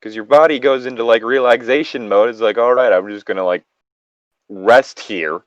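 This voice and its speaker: A man's voice: mid-range voice, normal speed, no room sound, dull audio. A man reading a story aloud and breaking off into casual, joking, rambling, profane commentary. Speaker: reader and commentator of online stories.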